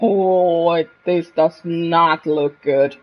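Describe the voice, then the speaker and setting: Unhurried woman streamer on a dull mic. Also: on the low side, steady tone, soft background music. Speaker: game streamer. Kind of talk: casual gameplay commentary, reading dialogue choices aloud.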